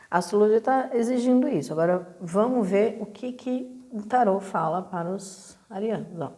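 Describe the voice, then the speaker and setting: A Brazilian woman reading a weekly horoscope and tarot in a relaxed, hesitant way, clearing her throat as her voice catches. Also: mid-range voice, normal speed. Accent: Brazilian Portuguese. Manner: relaxed, hesitant, lots of fillers and restarts. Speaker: astrology and tarot reader. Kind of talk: casual weekly horoscope talk.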